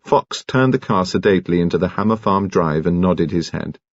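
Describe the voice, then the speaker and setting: A man's voice: deep voice, lively intonation, normal speed, no room sound, highs cut off. A man narrating a scripted story as an audiobook reader.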